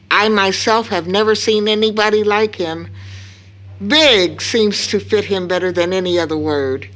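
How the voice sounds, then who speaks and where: Middle-aged mother for audio drama: grave, anguished, very unhurried, with wide emotional swings in pitch. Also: fairly bright tone. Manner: solemn, deliberate, emphatic. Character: grave, anguished mother baring a long-kept family secret. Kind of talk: stage audio drama acting.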